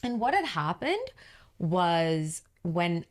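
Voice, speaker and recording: Mulher de voz grave contando história. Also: female voice, slow. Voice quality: deep voice. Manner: animated storyteller, acts out quoted dialogue. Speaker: podcast co-host, business owner. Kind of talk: casual storytelling chat.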